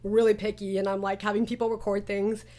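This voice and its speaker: Female, medium pitch, chatty creator-host in a relaxed cast interview, animated storyteller. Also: fairly quick. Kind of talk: casual interview chat.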